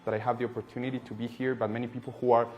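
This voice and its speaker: A young man from Colombia in his twenties speaks in a middle-pitched voice. He answers thoughtfully, often pausing and restarting his sentences. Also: little pitch movement, a little mellow. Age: 20s. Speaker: political scientist and activist. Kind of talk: interview.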